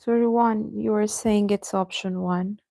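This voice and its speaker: A woman's voice: mid-range voice, fairly even intonation, unhurried, creaky, mellow, no room sound. A woman teaching an online English class, patiently going over answers and addressing students by name.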